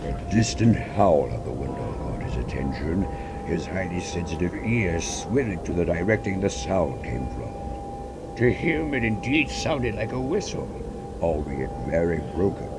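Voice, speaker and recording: A man's voice: very animated, unhurried, over loud music. A man reading fan fiction aloud as dramatic, suspenseful story narration. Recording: loud ambient rumble behind voice. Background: loud ambient noise.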